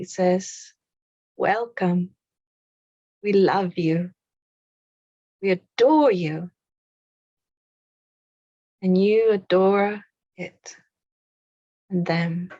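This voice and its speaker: A woman leading a guided meditation in a soothing, unhurried way, with pauses between short phrases. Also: lowish voice, a little mellow, no echo, lo-fi sound.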